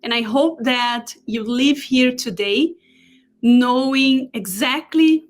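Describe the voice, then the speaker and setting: Brazilian woman in her 40s, slow and earnest, a bit high-pitched, checks her notes a lot. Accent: Brazilian Portuguese. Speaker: holistic therapist presenting a talk. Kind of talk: live online presentation.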